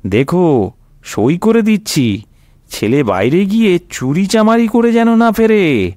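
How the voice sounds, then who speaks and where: A man's voice: high voice, sing-song, takes it slowly, a little mellow, no room sound, clean studio sound, heavily acted. Man acting out a pompous Bengali local politician. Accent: Indian Bengali. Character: self-important male local political leader.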